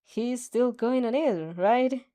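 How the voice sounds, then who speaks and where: A woman's voice: on the high side, takes it slowly, mellow. A woman voicing a grumpy, tired teenage boy who scoffs dryly in a fanfic reading. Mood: annoyed. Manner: dry, scoffing.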